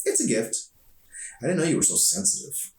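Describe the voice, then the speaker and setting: A man's voice: on the high side, very animated, a little fast, creaky, darkish tone, voice pushed up. A man voicing a confident, playful, sarcastic teenage girl in an audiobook reading. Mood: smug, teasing. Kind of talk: audiobook dialogue. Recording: echoey room, distant mic.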